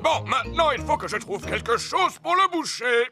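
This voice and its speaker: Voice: sits high, sing-song, normal speed, bright, forceful tone, faint music behind, exaggerated voice. An actor voicing a cheery old male rabbit who repairs boats, jolly and exclaiming, in a cartoon dub. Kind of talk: cartoon dubbing.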